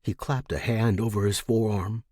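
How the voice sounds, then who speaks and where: A man reading a scripted story as a narrator, with a dramatic, expressive and suspenseful delivery. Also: lowish voice, moderate pace, no echo, clean studio sound. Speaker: audiobook-style fiction narrator.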